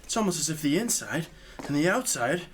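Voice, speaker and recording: An actor playing a naive, impulsive young knight in an audio drama, blunt and guileless. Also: male voice, a little high, very animated, brisk, a little hiss or hum. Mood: frustrated. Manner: blunt, hot-headed, guileless.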